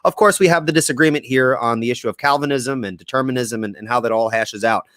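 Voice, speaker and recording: A man's voice: mid-range voice, fast, a bit bright, no echo, clean studio sound. A man from Puerto Rico hosting a podcast interview, chatty and joking, with many ums and restarts. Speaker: podcast host. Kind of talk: casual interview chat.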